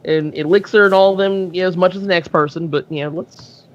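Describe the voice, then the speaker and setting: A man's voice: sits high, steady tone, fast, highs cut off. A man chatting casually as a podcast guest, hesitant and thinking aloud with frequent restarts. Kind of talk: casual live comic book discussion.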